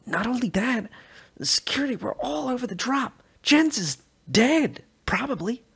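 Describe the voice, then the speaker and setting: A man reading a sci-fi short story aloud as narrator, expressive with small slips. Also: very high voice, normal speed, creaky, husky. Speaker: reader.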